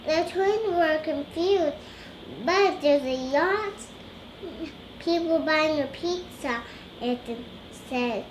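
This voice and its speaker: A young girl reading a children's storybook aloud, halting and stumbling over words. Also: high voice, a little hiss or hum. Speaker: young reader learning to read aloud.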